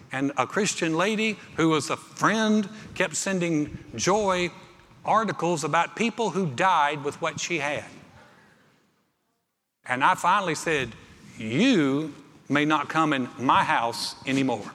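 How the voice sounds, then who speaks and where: A man's voice: a little high, animated, unhurried, pushed and bright, slight background noise. A middle-aged man preaching a sermon with personal anecdotes, conversational yet emphatic, repeating phrases for effect. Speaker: pastor. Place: large church auditorium. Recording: reverberant hall, amplified preaching.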